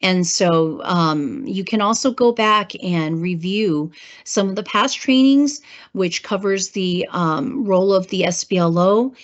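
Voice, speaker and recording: A woman with a hoarse voice from a cold, presenting a government training webinar, clearing her throat and using many fillers. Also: medium pitch, measured pace. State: hoarse, getting over a cold. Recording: muffled lo-fi webinar audio.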